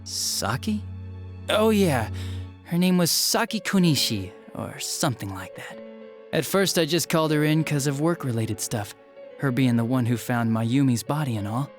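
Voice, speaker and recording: An actor playing a smug, spiteful young detective villain in a video game, with a sneering, flippant and theatrical manner. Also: male voice, medium pitch, animated, normal speed, soft background music, close to an everyday voice. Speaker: police detective. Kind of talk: dramatic video game voice acting.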